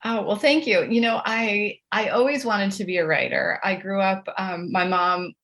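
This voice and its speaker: A woman's voice: mid-range voice, moderate pace, fairly bright tone. A middle-aged woman telling chatty, self-deprecating anecdotes as a guest author in a conversational interview.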